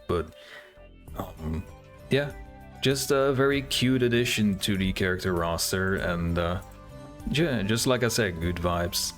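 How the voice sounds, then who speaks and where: A man giving casual, laid-back reaction commentary on game trailers, musing as he goes. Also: low voice. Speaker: gaming video creator. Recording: music behind the voice. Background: game trailer music.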